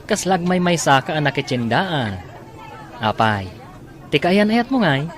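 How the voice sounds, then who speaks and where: A man's voice: on the high side, sing-song, a little slow. A man playing a sociable, teasing young friend in a radio drama. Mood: cheery, teasing. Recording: outdoor crowd and faint noise.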